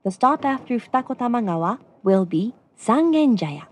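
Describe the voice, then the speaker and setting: Lilting, slightly husky woman train announcer. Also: a little high, big pitch swings, takes it slowly. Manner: formal, courteous phrasing. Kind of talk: scripted recorded train announcement in English.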